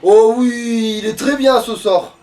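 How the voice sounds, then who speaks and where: A man's voice: extremely high pitch, slow pace, darkish tone, recorded from a distance. A man chatting casually as he plays a video game, with crude, slangy talk and frequent swearing. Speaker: let's play gamer at the controls. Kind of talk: casual gaming chat while playing.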